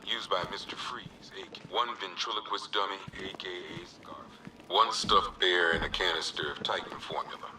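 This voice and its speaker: An actor playing a police evidence officer in a video game, reading out items in a flat, official way with dry asides. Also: male voice, sits low, moderate pace, recorded from a distance, a little hiss or hum, low-quality recording. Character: gruff male police officer cataloguing confiscated villain evidence. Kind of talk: video game character dialogue.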